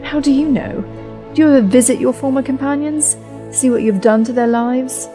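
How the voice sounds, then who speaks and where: Woman acting exasperated, high-voiced young woman traveller. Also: moderate pace, over music, natural voice. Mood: bitter, irritated. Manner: exasperated, sarcastic sighing delivery. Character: weary, irritable, sarcastic young woman traveller. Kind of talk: audio drama acting.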